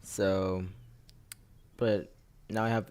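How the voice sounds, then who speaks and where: A man's voice: lowish voice, little pitch movement, drawn-out words, a little mellow, smooth. A young man casually commentating a live game stream, rambling and often repeating himself. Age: teenager or young adult. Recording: game music over the voice. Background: game music and sound effects.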